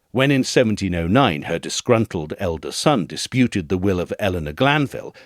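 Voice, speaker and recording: A man with a fairly low voice narrates a scripted audio essay. His delivery is measured and precise, with very varied, expressive intonation. The recording is close and dry. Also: dry recording.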